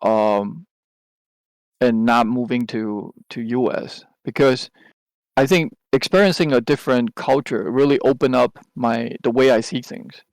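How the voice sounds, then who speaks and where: Gravelly man. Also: medium pitch, a little slow, darkish tone, no room sound, rough recording. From Taiwan. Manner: rambling, with many restarts and hesitant fillers. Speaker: podcast co-host. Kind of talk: casual chat.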